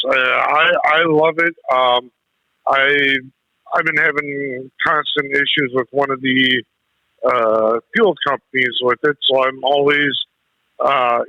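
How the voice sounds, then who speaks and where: A man phones in to a radio show with a very sharp, bright voice. He speaks slowly and haltingly in a casual way, and the sound is dry and close. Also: mid-range voice, no echo, rough recording. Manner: halting, hesitant phrasing with pauses. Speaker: radio show caller, truck driver.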